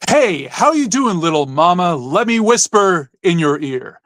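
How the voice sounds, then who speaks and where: A man's voice: high-pitched, sing-song, a little slow, fairly bright tone, pitched above the performer's own voice, full character voice. A man performing a leering suitor in a theatrical, mock-refined dramatic poetry reading. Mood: theatrical, flirtatious.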